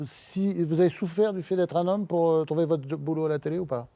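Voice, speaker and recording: Dark-voiced male TV host. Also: fairly high pitch, steady tone, a little fast. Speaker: TV talk show host. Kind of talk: studio debate moderation. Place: TV studio.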